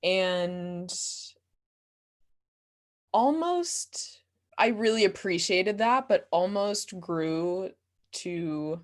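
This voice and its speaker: A young woman with a voice a little on the low side, answering interview questions casually. She is animated and giggly, and talks candidly with a self-deprecating streak. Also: very slow, long pauses. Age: young adult. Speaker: guest, playwright and solo performer.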